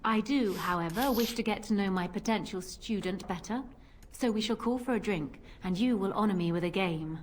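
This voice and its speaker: A woman's voice: medium pitch, normal speed, slight background noise, lightly acted. An actress voicing a confident, flirtatious female pirate captain and duelist in a video game, with a teasing, theatrical manner. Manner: teasing, self-assured, theatrical.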